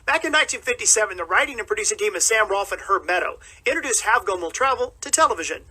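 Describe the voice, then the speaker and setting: A man with a husky voice hosting a radio show with a scripted introduction. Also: high voice, lively intonation, quick pace, sharp tone. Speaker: radio host.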